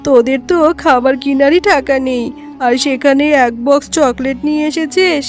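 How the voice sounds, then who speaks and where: A woman's voice: sits high, normal speed, soft background music, natural voice. A woman voicing a suspicious, greedy tigress aunt in a children's cartoon, with an accusing, scheming manner.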